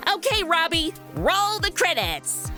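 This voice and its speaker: A peppy female host with a modern influencer delivery. Her voice is high and bright, lively in pitch, with faint music behind. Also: slow. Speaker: show host. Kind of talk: scripted host narration.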